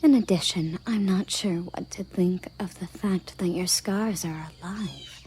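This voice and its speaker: A woman playing a proud, commanding elven guard captain in a scripted roleplay audio drama, soft and often half-whispered, with formal, deliberate phrasing. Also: lowish voice, huge pitch swings. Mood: curious, measured.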